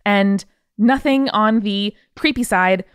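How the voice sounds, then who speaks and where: A woman co-hosting a podcast in casual chat, reading out listener mail in a playful, excitable way. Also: medium pitch, takes it slowly, clean tone, dry recording, studio quality. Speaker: podcast co-host.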